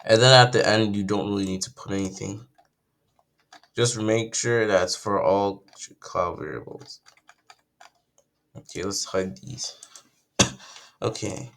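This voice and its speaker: A young man, croaky from just waking up, talks through a casual coding tutorial at his computer, thinking aloud and correcting himself as he goes. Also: on the low side, slow pace, pauses often, a bit bright.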